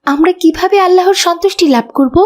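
A polite little cartoon schoolgirl, put on by a woman, with a very high voice. She is earnest, pious and grateful. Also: brisk. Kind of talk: cartoon dialogue.

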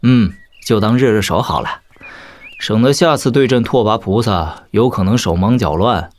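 An actor playing a proud young warrior prince in an audio drama, measured, cutting and mock-polite. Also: male voice, lowish voice, lightly acted. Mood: relaxed.

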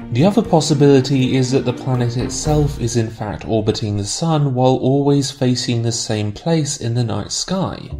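A man with a mid-pitched voice narrates a scripted explainer. His delivery is measured and analytical, like a host laying out an argument step by step. Music plays clearly behind him. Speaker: video essayist, host. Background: background music.

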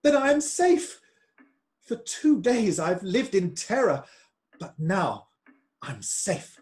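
A man as a fervent, animated politician husband. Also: sits high, slow, heavily acted. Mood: relieved. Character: fervent, troubled male politician and devoted husband. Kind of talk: amateur radio play acting of a period drama.

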